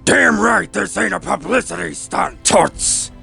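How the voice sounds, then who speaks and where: Brash, high-pitched blustering newspaper boss. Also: male voice, expressive, measured pace, vocal fry, over music, heavily acted. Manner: brash, bombastic. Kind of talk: comic book fan dub acting.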